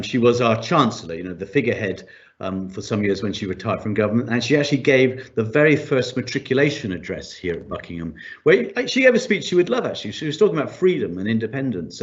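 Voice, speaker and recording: A man's voice: mid-range voice, lo-fi sound. Man interviewer asking long rambling questions. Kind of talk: conversational interview.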